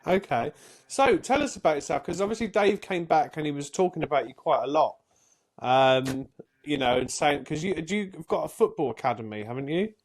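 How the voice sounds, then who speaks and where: A man's voice: fairly high pitch, animated, brisk. A man co-hosting a podcast, asking the guest questions in a curious, chatty way. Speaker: podcast co-host. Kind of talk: casual interview questions.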